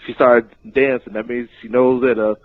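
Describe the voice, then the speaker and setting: Man caller, mid-pitched voice, relaxed slangy delivery, thin phone-line sound. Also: normal speed. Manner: laid-back, slangy, friendly. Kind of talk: voicemail shout-out.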